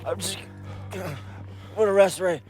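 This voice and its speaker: An actor playing a stubborn, wry wounded American soldier in a war game, strained and faltering. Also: male voice, high-pitched, fairly even intonation, unhurried, darkish tone, soft background music. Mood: pained, desperate. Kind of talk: cinematic war game acting.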